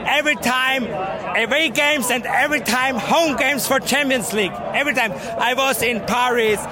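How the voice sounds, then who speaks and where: Excited Austrian man, very high voice. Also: normal speed. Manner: broken English, shouty and excited. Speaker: football fan interviewed. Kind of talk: street vox pop interview. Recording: loud crowd behind.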